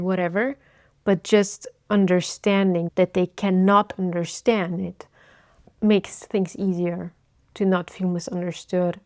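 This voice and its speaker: A woman in her thirties, originally from Bulgaria, giving a thoughtful personal life update and often pausing to search for words. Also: on the low side, a little mellow, dry recording, studio quality. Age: 30s. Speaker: vlogger.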